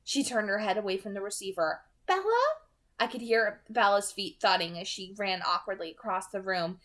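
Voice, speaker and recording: A woman's voice: medium pitch, steady tone, brisk, fairly bright tone. A woman narrating a novel aloud as an amateur audiobook reader.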